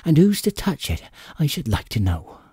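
A man voicing an anxious, determined innkeeper mother in an audiobook, urgent and hushed. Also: a little high, wildly swooping pitch, normal speed, no room sound, studio quality, pitched above the performer's own voice, exaggerated voice. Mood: fearful, urgent. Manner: urgent, breathy half-whisper. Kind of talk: audiobook character acting.

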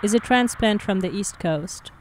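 A woman narrating a documentary voice-over, measured and inquisitive. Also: medium pitch, slight background noise. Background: music.

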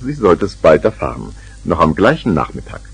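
Low-toned children's storyteller. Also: male voice, wildly swooping pitch, fairly quick, creaky, soft background music, full character voice. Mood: measured. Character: storyteller narrating a children's tale. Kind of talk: scripted narration, 1970s German radio play.

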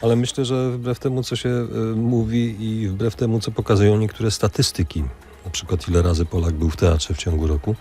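Man, low pitch, radio interviewer, conversational, hesitant and roundabout. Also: steady tone, brisk, dark tone.

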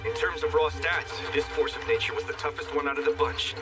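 Casting: male actor for a smug, wry young animated hero. Expressive narration, slightly off-mic over clear music. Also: fairly high pitch, fairly quick, far from the mic, close to an everyday voice. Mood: wry presenting. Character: cocky sarcastic young male fantasy hero. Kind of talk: animated dub narration and banter.

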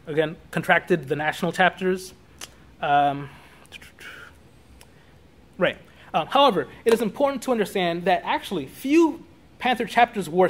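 A man in his thirties giving an academic lecture from notes, halting at times and correcting himself as he goes. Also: sits high. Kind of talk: academic talk read from notes with spoken asides. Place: small classroom or meeting room, indoors.

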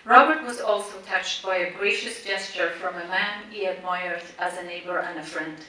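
A woman's voice: fairly low pitch, fairly even intonation, bright, forceful tone. Woman speaking in a big echoey cinema. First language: Persian. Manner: measured, deliberate phrasing with listed words and pauses. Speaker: speaker introducing a tribute screening. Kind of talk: prepared memorial speech. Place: large cinema auditorium, standing on stage. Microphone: stand mic, recorded by a distant camera in the audience. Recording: echoey cinema from far off with faint hiss.

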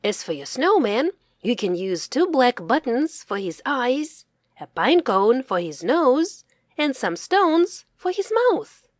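A woman's voice: high voice, very animated, slow, no echo, studio quality, heavily acted. A woman voicing a kind, reassuring mother in a children's storybook, patiently explaining. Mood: encouraging. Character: kind, comforting mother of a young boy.

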